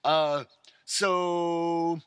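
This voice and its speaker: A man's voice: on the high side, flat delivery, drawn-out words, clean tone, no echo, studio quality. A man co-hosting a casual podcast, joking and rambling with self-mocking asides. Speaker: podcast co-host. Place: rural home studio.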